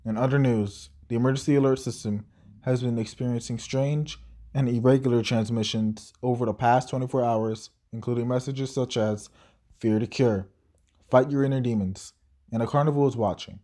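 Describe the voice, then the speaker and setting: A man's voice: medium pitch, steady tone, normal speed, warm tone, lightly acted. A man playing a local TV news anchor in an audio drama, with a formal announcer delivery. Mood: composed. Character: local TV news anchor, male, composed then shaken.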